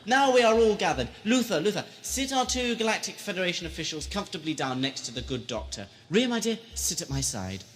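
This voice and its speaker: A man's voice: sits high, very animated, fairly quick, hardly any pauses. A man playing a flamboyant, menacing mad lord holding court in an audio drama, theatrical and grandiose. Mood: grandly playful. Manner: theatrical, grandiose, swooping from cooing to shouting. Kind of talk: amateur audio drama acting.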